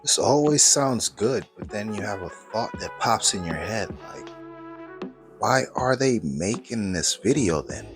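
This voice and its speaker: A man's voice: lowish voice, very animated, unhurried, over music. A man commenting on video clips in a skeptical, matter-of-fact way. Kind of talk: reaction commentary.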